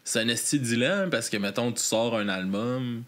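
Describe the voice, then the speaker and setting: A man's voice: medium pitch, normal speed, a bit bright. A man with a Québécois French accent, hosting a podcast interview in a loose, casual style with frequent swearing and laughter. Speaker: podcast host.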